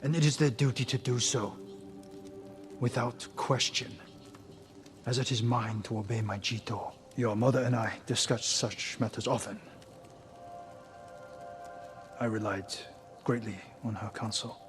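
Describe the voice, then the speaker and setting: An actor playing a stern, dutiful middle-aged samurai lord and father in a video game, speaking formally and deliberately. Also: mid-range voice, over music, natural voice. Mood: wistful, grieving. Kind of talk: video game cinematic acting.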